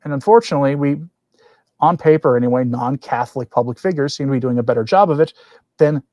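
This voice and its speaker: A man's voice: medium pitch, a little mellow, no echo. A man hosting a religious commentary livestream, talking in an earnest, opinionated way with wry asides. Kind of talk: opinionated commentary, partly reading quoted text aloud.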